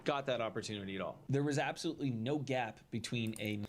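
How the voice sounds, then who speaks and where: A man's voice: mid-range voice, fast. A man giving an aggrieved personal statement in an emphatic, indignant way, heard as replayed video audio. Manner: emphatic, indignant, dwelling on grievances.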